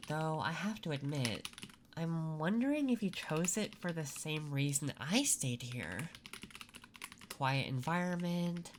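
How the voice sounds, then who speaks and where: Slow, low-voiced woman in soft ASMR roleplay. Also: expressive. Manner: soft-spoken, unhurried, gently flirtatious, pauses between phrases. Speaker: ASMR roleplay performer playing a job interviewer. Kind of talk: ASMR roleplay, quiet intimate interview. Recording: clear background noise. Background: computer keyboard typing and clicks.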